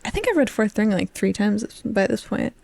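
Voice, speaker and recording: A woman's voice: medium pitch, expressive, normal speed, vocal fry, darkish tone, no echo. A young adult woman co-hosting a podcast, discussing books casually in an informal, candid and self-deprecating way. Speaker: podcast co-host.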